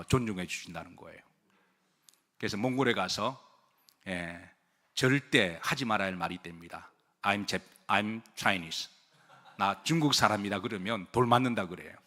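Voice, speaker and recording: A man's voice: lowish voice, fairly bright tone. A male pastor preaching a sermon to his congregation, asking them questions, having them repeat phrases after him, and joking with them lightly. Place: church sanctuary. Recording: long hall reverb.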